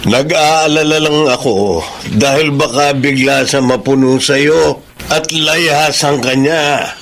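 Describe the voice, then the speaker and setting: A man playing a concerned father in a Filipino radio drama, gently admonishing his grown daughter. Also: a little high, slow, slight background noise. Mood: concerned, chiding. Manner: admonishing, worried.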